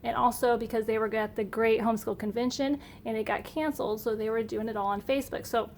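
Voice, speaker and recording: A woman's voice: fairly high pitch, fairly even intonation, quick pace, a little mellow, a little hiss or hum. A middle-aged woman narrating a casual home unboxing in a chatty, upbeat, enthusiastic way. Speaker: homeschool vlogger. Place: indoor room at home.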